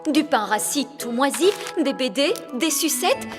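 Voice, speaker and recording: A woman voicing a bossy, fussy fairy nanny in a French cartoon, with a brisk, theatrical, disapproving manner. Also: high-pitched, lively intonation, music in the background. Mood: disgusted, bossy.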